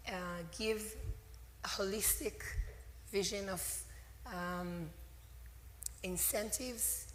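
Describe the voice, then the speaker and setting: A middle-aged woman who has lost her voice, speaking on a conference panel with halting, word-searching English. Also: mid-range voice, a bit bright, slight background noise. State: hoarse, losing her voice. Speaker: panelist, legal and government relations lead. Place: conference hall. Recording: echoey hall with faint ambience.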